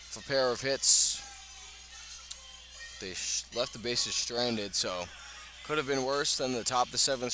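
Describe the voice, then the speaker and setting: A man calls a baseball game as a radio play-by-play announcer. His voice is bright and mid-pitched, and he speaks in clipped phrases with pauses. Faint music sits behind him. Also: low-quality recording. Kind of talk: live radio baseball commentary.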